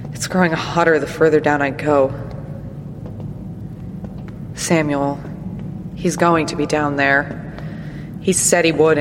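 An actor playing an uneasy young male archivist, talking haltingly as he records himself alone. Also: a little high. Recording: long reverb with clear background noise.

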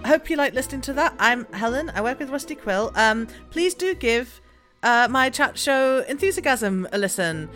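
Woman, fairly high voice, informal podcast host, chatty plug, light music underneath. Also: expressive, normal speed, a bit bright. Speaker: podcast chat show host. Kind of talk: casual friendly plug.

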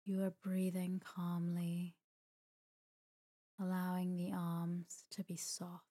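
A woman leading a guided sleep meditation in a soft, breathy voice, calm and gentle, with long pauses between short phrases. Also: lowish voice. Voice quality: soft, breathy, near-whisper at times. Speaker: meditation guide, podcast host. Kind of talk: guided sleep meditation, slow scripted narration.

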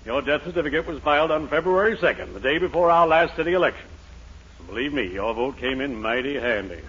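An actor playing a blustery, pompous, shady older mayor in a 1940s radio sitcom. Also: male voice, medium pitch, expressive, quick pace, a bit bright, breathy edge. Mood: smooth, sly. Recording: noisy, muffled 1940s radio recording.

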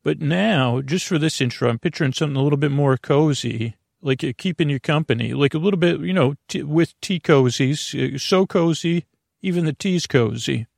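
A man hosting a bedtime podcast, talking in a lulling, creaky way and wandering off on meandering tangents. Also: on the high side, moderate pace, darkish tone, dry recording, clean studio sound. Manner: meandering, tangent-prone, self-interrupting. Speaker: podcast host. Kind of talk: rambling bedtime podcast talk.

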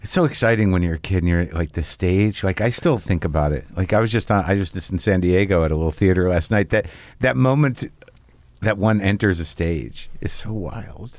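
A man with a fairly low voice hosts a conversation and cuts in with blunt questions and short agreements. The recording is dry and close, with no room echo. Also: a little fast, a little mellow. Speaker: podcast host. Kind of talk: casual interview chat.

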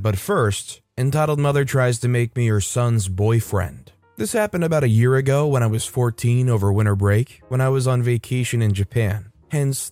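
Man narrating stories over soft music. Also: mid-range voice, no echo. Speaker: channel narrator. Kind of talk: scripted story narration. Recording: faint music under voice.

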